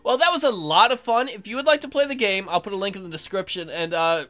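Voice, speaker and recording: A woman's voice: mid-range voice, quick pace, a bit bright, faint music behind. A woman hosting a let's play, reading aloud and commenting casually in a playful, sarcastic way.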